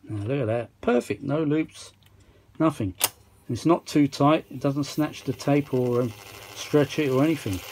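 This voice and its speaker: A man's voice: mid-range voice, unhurried. British man muttering over noisy background. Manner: rambling, muttering to himself, self-mocking. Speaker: vintage audio repair hobbyist and video maker. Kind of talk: casual off-the-cuff repair commentary. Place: indoor workshop bench. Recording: steady machine noise behind voice.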